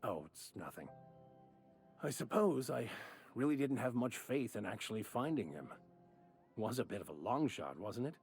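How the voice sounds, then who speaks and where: An actor playing a mild, earnest, philosophical traveler in scripted video game dialogue, articulate and reflective. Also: male voice, mid-range voice, big pitch swings, moderate pace, long pauses, a little mellow, faint music behind. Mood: resigned.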